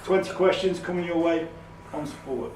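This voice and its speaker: A man's voice: fairly high pitch, normal speed, a little mellow. A man acting as quizmaster, reading questions aloud and ruling on the answers. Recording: roomy, distant, noisy recording.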